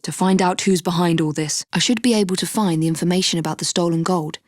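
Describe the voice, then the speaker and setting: An actress playing a poised, determined young Victorian woman assassin in a video game, with an English accent and crisp, formal diction. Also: fairly low pitch, steady tone, fast, a little mellow, a little hiss or hum, lightly acted. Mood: composed, purposeful. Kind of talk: scripted video game voice lines.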